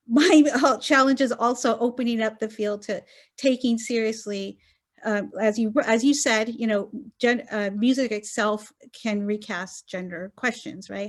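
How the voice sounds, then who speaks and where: A woman scholar speaking on an academic roundtable over a video call, earnest and thinking aloud with many fillers and "right?" tags. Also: mid-range voice. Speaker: gender studies scholar on roundtable panel.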